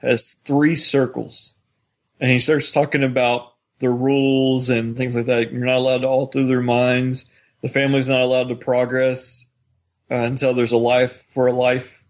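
A man with a medium-pitched voice talks as a podcast co-host. His delivery is casual and conversational, as in an informal discussion of a drama series. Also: little pitch movement, moderate pace.

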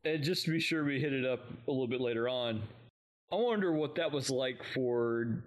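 Male podcast co-host, mid-pitched, casual recap style, informal and halting delivery. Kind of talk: casual recap of an audio drama.

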